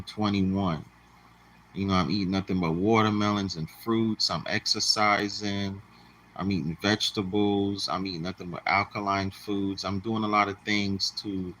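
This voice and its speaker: A man close to fifty talks bluntly to his audience, repeating his points like a lecture. His voice sits on the low side but carries a bright, forceful edge. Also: rough recording. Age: 40s. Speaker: channel host. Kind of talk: casual monologue to the camera.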